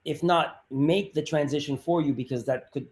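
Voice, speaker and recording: A man's voice: a little high, moderate pace, a little mellow. A man on a virtual meeting call offers community help, restarting his sentences as he talks. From France. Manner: stumbling restarts. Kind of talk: casual chat on a video call. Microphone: video call.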